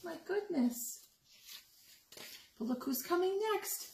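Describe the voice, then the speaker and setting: High-pitched, animated woman reading a storybook. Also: slow pace, creaky. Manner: asks the young listeners questions, exclaims over pictures. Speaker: story time reader. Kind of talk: animated picture book read-aloud for young children. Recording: roomy echo with noticeable background noise.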